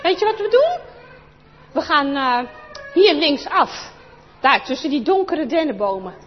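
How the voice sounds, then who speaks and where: A woman's voice: high voice, unhurried, long reverb tail, highs cut off, slight background noise. A woman reading aloud, voicing a bold, teasing young boy on a treasure hunt. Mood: excited. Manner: excited, teasing.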